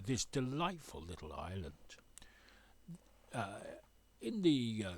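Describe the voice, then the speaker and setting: A man narrating his sailing voyage as a voice-over, pausing often with many uhs and ums. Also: fairly low pitch. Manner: hesitant, full of uhs and ums. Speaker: sailing vlogger.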